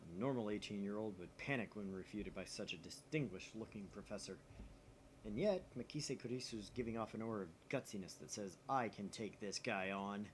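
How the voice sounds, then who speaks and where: A man co-hosting a visual novel playthrough, switching between casual chat and animated narration of the game text. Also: fairly low pitch, brisk, slight background noise, low-quality recording.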